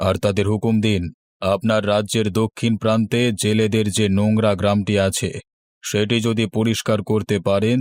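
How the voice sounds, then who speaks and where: Man acting a fairy-tale cartoon holy man, low voice, stern, slow, solemn, music behind. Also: natural voice. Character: stern, solemn wandering holy man, fortune-teller sage.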